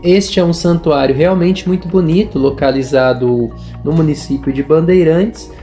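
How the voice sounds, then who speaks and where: A man's voice: fairly high pitch, moderate pace, mellow, faint music behind. Young man with a caipira Paraná accent. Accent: northern Paraná caipira Portuguese. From Norte Pioneiro, Paraná, Brazil. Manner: hesitant, many fillers and restarts. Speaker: podcast host, history student. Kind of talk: informal spoken podcast narration.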